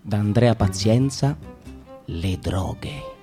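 A man reading a literary text as radio theatre, dramatic and shifting between calm and agitated. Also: on the low side, very slow, over music.

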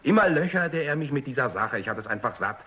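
A man's voice: medium pitch, expressive, quick pace, bright, forceful tone, slightly rough. An actor playing an unsure, defensive young male physicist in a German radio drama, speaking hesitantly. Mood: exasperated.

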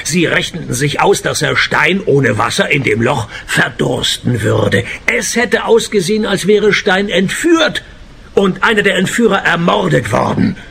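An actor playing a wry, world-weary private detective who narrates his cases in a laconic, deadpan radio play style. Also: a little high, unhurried, creaky, a little hiss or hum, exaggerated voice. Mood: accusing. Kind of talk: German-language detective radio play, first-person narration and dialogue.

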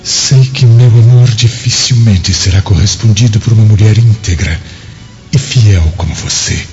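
An actor playing a lovesick, secretive Roman governor in an ardent, theatrical radio drama style. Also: male voice, lowish voice, normal speed, darkish tone, lightly acted. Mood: yearning, ardent. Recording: muffled radio drama with music.

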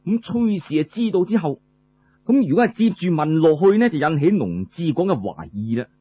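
A man's voice: fairly high pitch, very animated, normal speed, slightly rough. A man with a Cantonese accent narrating a traditional tale in a colloquial, phrase-by-phrase way. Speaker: storyteller. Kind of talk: traditional oral storytelling narration. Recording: muffled lo-fi recording.

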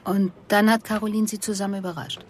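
A woman's voice: mid-range voice, flat delivery, quick pace, slight background noise, lightly acted. A woman playing a persistent police investigator in a German radio drama, asking controlled, pointed questions. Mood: insistent.

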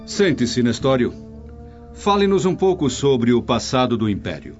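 Roman nobleman acted by a man, commanding and formal, mid-pitched, light music underneath. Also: big pitch swings, normal speed, lightly acted. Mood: lordly, inquiring. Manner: lordly, formal. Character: authoritative Roman nobleman and father, curious, impressed. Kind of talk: radio drama acting. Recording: dull lo-fi audio with music.

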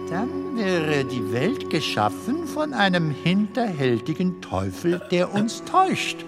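An actor in a German radio drama playing an eager, playful male film comedian lost in darkness, with a theatrical, expressive delivery. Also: high-pitched, heavily acted. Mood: sceptical, provoking. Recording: long hall reverb, music behind.

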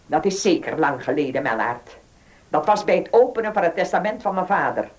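A low-pitched, highly expressive older woman as an imperious estate owner. She speaks in a brusque, commanding and formal way in a radio play. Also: brisk. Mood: brusque, haughty. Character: domineering old landed lady, brusque, stubborn and imperious. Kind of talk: Dutch radio drama acting.

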